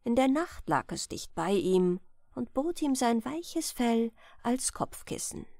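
Expressive woman narrator with lively intonation. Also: on the high side, slow pace, dry recording, studio quality. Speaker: audiobook reader. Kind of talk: bedtime fairy-tale narration.

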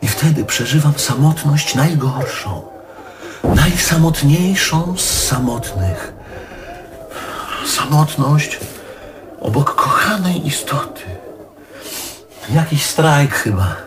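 A bitter, high-voiced, ranting lover. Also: male voice, expressive, slow, long pauses, background noise. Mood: wounded. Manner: emphatic, self-correcting, swallows curses mid-word. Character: bitter, needy, aggrieved middle-aged male lover, ranting. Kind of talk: stage play monologue.

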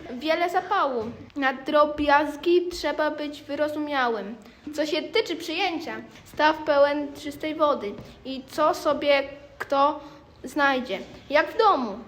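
A girl of about twelve narrating a scripted storybook tale. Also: high voice. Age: child, around twelve. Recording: faintly noisy amateur recording.

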